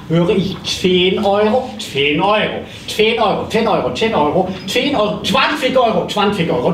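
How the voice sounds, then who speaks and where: A man playing a brisk auctioneer in a live comedy audio play, chanting bids and drawing out his calls. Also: sits high, fairly bright tone. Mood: excited bidding. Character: brisk theatrical male auctioneer selling off a practice. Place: small theatre stage, indoors. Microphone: stage microphone, recorded by far camera. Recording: noisy, echoey, distant live stage recording.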